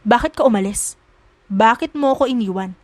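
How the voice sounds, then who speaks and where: A woman's voice: on the high side, normal speed, no room sound, lightly acted. Woman voicing rich young man, possessive, lovestruck, insistent, pleading, romance audio drama, dry recording. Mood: hurt, tender.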